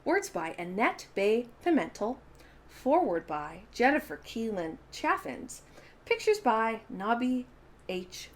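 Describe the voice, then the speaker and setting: A woman's voice: a little high, big pitch swings, slow. A woman hosting children's storytime, reading a picture book aloud expressively, with dramatic emphasis on key words.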